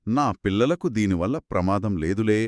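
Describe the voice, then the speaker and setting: A man telling a children's story in a conversational, expressive way, with exclamations and short questions. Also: on the low side, a little fast, no echo, clean studio sound.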